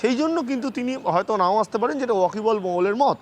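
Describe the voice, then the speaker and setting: A man with a West Bengal Bengali accent giving live news analysis as a correspondent, halting and repeating himself for emphasis. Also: sits high, animated. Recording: clear background noise and ambience.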